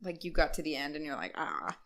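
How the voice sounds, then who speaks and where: Animated young woman chatting with lots of fillers. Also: deep voice, a little fast. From Rhode Island. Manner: animated, many "like" fillers, loud bursts. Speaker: podcast co-host. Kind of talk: casual chat.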